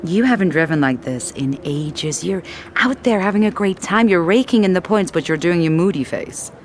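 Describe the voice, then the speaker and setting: A woman's voice: lowish voice, expressive, moderate pace, slight background noise, lightly acted. An actress playing a woman who teases and prods a racing driver, in a video game cutscene. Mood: teasing. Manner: teasing, prodding. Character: teasing woman close to the driver, playful.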